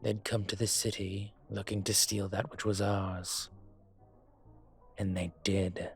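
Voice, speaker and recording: Male actor as dragon crime boss, slow and measured, husky, hesitant pauses, brooding, polite, vengeful, intimate audio drama, faint music. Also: on the low side, little pitch movement. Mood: somber, bitter. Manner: measured, hesitant, pausing often. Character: dragon mafia boss, brooding, courteous, vengeful on a dinner date. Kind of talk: audio roleplay drama, intimate dinner conversation.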